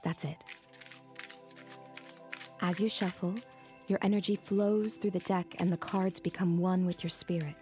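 A woman's voice: fairly low pitch, little pitch movement, unhurried, long pauses, dark tone, faint music behind, close to an everyday voice. A woman playing a young, alluring tarot reader in a naturalistic audio drama, with a teasing, mystical manner. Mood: calm, mystical. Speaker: actress.

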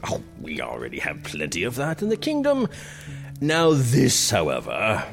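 A self-indulgent, tipsy prince who fancies himself king, with a creaky voice that swoops extravagantly. He is haughty, jovial and theatrical, as in a medieval radio play, with music underneath. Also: on the low side, huge pitch swings, moderate pace, full character voice. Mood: fawning, flustered. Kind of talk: medieval audio drama acting.

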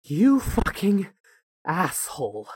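A woman playing a brash, excitable younger brother in a fan fiction audio drama. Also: lowish voice, slow pace, noisy background. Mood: frantic, indignant.

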